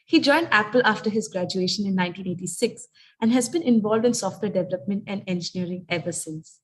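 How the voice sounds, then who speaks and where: A woman's voice: mid-range voice, fast talker, rough recording. A woman acting as emcee, formally reading out a guest speaker's introduction. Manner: formal, read-out. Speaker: event emcee.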